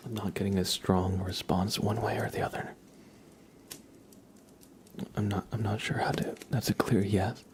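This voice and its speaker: A man hosting an ASMR livestream, whispering softly and casually close to the microphone, drawing out and repeating words. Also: fairly low pitch, level tone, noisy background. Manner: soft, drawn-out, repeats words slowly.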